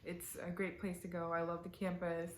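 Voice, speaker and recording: A woman's voice: on the low side, completely flat delivery, normal speed, a little hiss or hum. Chatty young woman vlogging in an echoey room. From born in the UAE, raised in Canada. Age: 20s. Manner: chatty, giggly, lots of fillers. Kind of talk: casual Q&A talking to camera. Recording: roomy echo.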